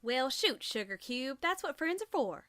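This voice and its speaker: Woman playing a country farm pony, no-nonsense, kindly, high voice, lilting pitch, cartoon audio drama. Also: sing-song, normal speed, bright, forceful tone, full character voice. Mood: folksy firmness. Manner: folksy, plain-spoken.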